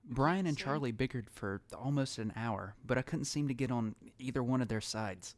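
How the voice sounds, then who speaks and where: A man's voice: medium pitch, normal speed, no room sound, natural voice. A man playing a lonely, haunted survivor, narrating his story in a somber, reflective way for an audio drama. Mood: thoughtful. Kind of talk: scripted first-person audio drama narration. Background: music.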